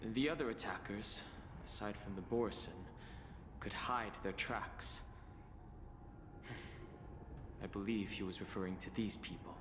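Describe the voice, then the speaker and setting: An actor playing a composed, knowing man in a video game, explaining things in a grave, deliberate way. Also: lowish voice, lightly acted. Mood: grave, explanatory. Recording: echoey hall, clear background ambience noise.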